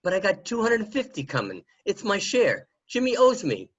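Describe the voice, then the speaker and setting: A muffled, lo-fi home recording of a pestering, desperate man, played by an actor in a crime radio play. He pleads insistently in a high, husky voice. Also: wildly swooping pitch, moderate pace, exaggerated voice. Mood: desperate pleading. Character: desperate, pestering man demanding his share of money.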